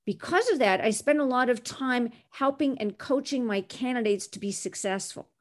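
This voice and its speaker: A woman's voice: fairly high pitch, expressive, normal speed. Self-assured older Canadian woman recruiter. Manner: articulate, self-assured storytelling. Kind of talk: interview answers.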